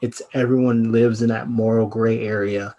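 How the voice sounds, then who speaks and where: A man's voice: lowish voice, little pitch movement, normal speed, dark tone, lo-fi sound. A man, a theater writer, talking on a podcast discussion panel.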